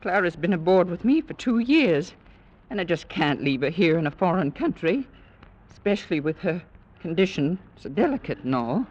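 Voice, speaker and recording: A middle-aged actress playing a kindly, flustered ship stewardess in 1940s radio style, hesitant and apologetic, on an old radio recording. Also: female voice, lowish voice, sing-song, normal speed, a little mellow, dull audio. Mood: anxious pleading.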